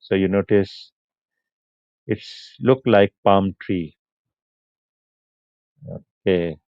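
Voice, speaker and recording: A man hosts a live stream, speaking in an unhurried, chatty way and laughing now and then. His low voice is smooth and clear. The sound is dry and a little muffled. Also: a little mellow, no echo. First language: Punjabi. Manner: unhurried, chatty, answers comments, laughs often. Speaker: livestream host. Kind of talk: casual live stream chat with viewers.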